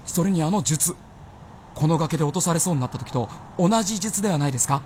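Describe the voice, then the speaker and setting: A man's voice: on the high side, quick pace, darkish tone, a little hiss or hum, natural voice. A man playing a hot-headed, earnest young gunner with a fervent, boyish manner in a Japanese radio drama. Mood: grateful.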